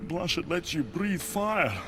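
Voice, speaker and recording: An actor playing a boastful, easily wounded dragon in a video game, with a pompous, theatrical delivery. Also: male voice, a little high, expressive, measured pace, noisy background. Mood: whiny, grudging. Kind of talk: video game character acting. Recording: music behind the voice.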